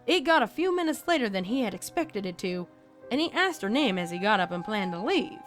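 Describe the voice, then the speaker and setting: A woman voicing a deadpan cowgirl pony who tells a spooky tale in a folksy, dramatic way for a fan fiction audio story. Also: mid-range voice, brisk, close to an everyday voice. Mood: folksy, animated storytelling. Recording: background music under the voice.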